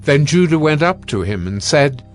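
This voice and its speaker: A man narrating a dramatized scripture reading in a steady, measured way. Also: on the high side, faint music behind.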